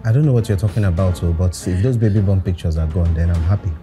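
A man's voice: sits low, quick pace, mellow, soft background music, natural voice. A young man with a Nigerian accent playing a husband who argues defensively and pleads. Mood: defiant. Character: husband, defensive then soothing.

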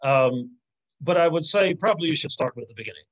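A man's voice: a little high, expressive, a little fast, poor audio. A man in his forties speaking as an academic in an informal Q&A, thinking aloud in a hesitant, reflective way and rephrasing as he goes. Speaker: academic answering audience questions. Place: indoors on a video call with virtual background.

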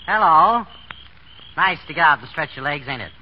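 An actor playing a slangy, cowardly small-time chiseler in a 1930s American radio drama. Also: male voice, fairly high pitch, animated, normal speed, lightly acted. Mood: smug, sly. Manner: slangy, stammers when scared. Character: pushy small-time male chiseler, cowardly when threatened. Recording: dull, noisy 1930s radio recording.

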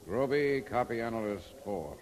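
An actor playing an officious, coldly menacing moon port official in a 1950s radio drama. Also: male voice, medium pitch, lively intonation, normal speed, natural voice. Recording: old radio recording, long hall reverb.

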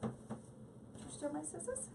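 A woman's voice: normal speed, far from the mic, background noise. A woman hosting a live crafting stream, chatting with viewers in a rambling, giggly way at her craft table at home. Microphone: device mic set back from the table.

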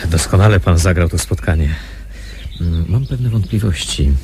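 An actor playing a wary, thoughtful undercover investigator in a radio drama, with a measured, questioning manner. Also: male voice, deep voice. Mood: wary, probing. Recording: noisy recording with background ambience.

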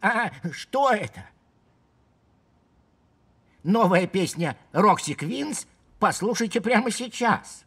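A man voicing a shy, bashful young male lamb with a husky, stammering delivery in a children's cartoon. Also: sits high, animated, slow, long pauses, a bit bright, heavily acted. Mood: awkward, unsure. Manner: hesitant, stammering with many filler sounds. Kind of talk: animated children's cartoon dialogue.

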